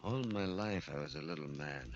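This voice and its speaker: A man's voice: very deep voice, lively intonation, normal speed, highs cut off, a little hiss or hum. An actor playing a tormented murderer, a small man grown into a giant, in 1940s radio horror style. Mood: bitter, hysterical. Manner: melodramatic, anguished. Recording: old radio recording with faint music.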